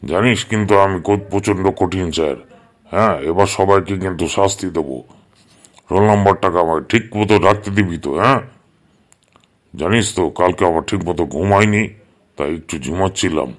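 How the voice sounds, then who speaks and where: A man's voice: sits low, a little fast, natural voice. A man playing a sleepy male schoolteacher in a comedy cartoon, drawling and scolding while claiming to be strict. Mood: grumpy scolding.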